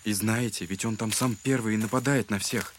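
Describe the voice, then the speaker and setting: An actor as low-voiced, earnest young man. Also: steady tone, a little fast, a little hiss or hum, lightly acted. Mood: concerned, earnest. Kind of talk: Russian literary audio drama acting.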